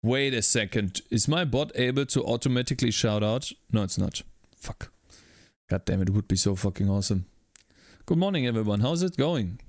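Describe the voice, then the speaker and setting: A man's voice: medium pitch, expressive, a little fast, no echo, studio quality. A man streaming his coding work, talking through his steps and greeting chat viewers by name. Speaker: livestreaming game developer.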